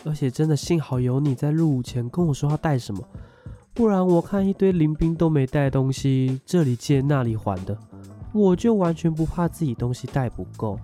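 A man's voice: a little high, moderate pace, dark tone, close to an everyday voice. A man with a Taiwanese accent playing a young army conscript in a radio drama, earnest, chatty and good-natured. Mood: earnest, grateful. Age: young adult. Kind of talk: scripted radio drama dialogue. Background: background music.

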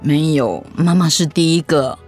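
An actress playing a gentle, hesitant middle-aged mother in a radio drama. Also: sits low, moderate pace, slightly rough, faint music behind. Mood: gentle, reassuring. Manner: gentle, hesitant, conversational with soft fillers.

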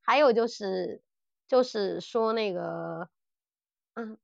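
A middle-aged woman co-hosting a podcast in casual chat, animated and blunt as she talks through audio dramas. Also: medium pitch, slow pace, gravelly, dry recording, highs cut off. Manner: animated and blunt, mimics characters' lines. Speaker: podcast host.

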